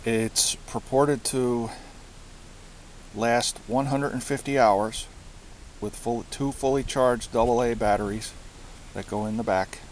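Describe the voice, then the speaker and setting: A man giving a casual show-and-tell of his gear, speaking haltingly and often repeating himself as he searches for words. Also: medium pitch, steady tone. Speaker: hobbyist presenter. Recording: hissy amateur home recording.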